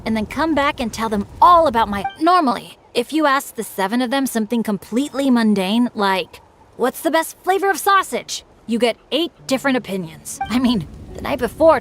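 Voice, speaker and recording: A woman's voice: fairly high pitch, lively intonation, a little fast, fairly bright tone, slight background noise. A woman voicing an earnest, good-natured teenage boy adventurer in an anime-style game, chatty and a little bashful. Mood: bashful, upbeat.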